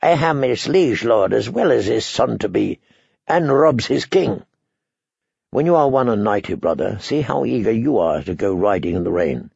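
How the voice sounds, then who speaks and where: Guy doing a stern noble lady in a fantasy audiobook, dry, scolding, low and careful. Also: sing-song, moderate pace, vocal fry, darkish tone, husky, no room sound, muffled recording, full character voice. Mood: dry, wary. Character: stern, wary noblewoman, dry older sister.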